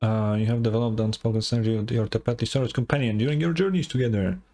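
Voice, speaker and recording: A man's voice: on the low side, fast, warm tone. A man streaming a game with casual, offhand, sweary commentary, reading some of the text aloud. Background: game music.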